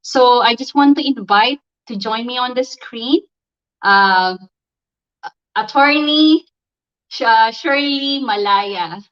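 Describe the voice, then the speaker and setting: Slow, hesitant woman hosting a livestream. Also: fairly high pitch, fairly bright tone, smooth, highs cut off. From Philippines. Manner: hesitant, many ums and restarts. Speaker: livestream host. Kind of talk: live online interview.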